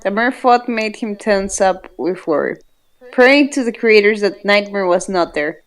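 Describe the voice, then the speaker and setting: A woman's voice: mid-range voice, lively intonation, measured pace. A woman reading fan fiction aloud in a casual, dramatic way, giggly and often breaking off to joke. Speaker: fan fiction reader, co-host.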